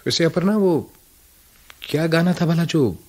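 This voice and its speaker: A man playing a weary, self-deprecating middle-aged writer and husband in a radio drama, speaking in a deliberate, wry way. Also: on the high side, a little mellow. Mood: questioning, reflective. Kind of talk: radio play acting.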